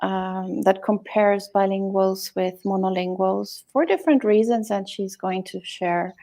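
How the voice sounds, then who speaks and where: Female co-host, mid pitch, gravelly texture, calm and measured in casual live chat.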